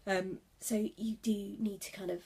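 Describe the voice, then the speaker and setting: Sniffly, husky woman chatting. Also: mid-range voice, steady tone, a little slow, a little mellow. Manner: chatty, rambling, many fillers. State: sniffly with a cold. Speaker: wedding planner. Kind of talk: casual livestream tips. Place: office at night.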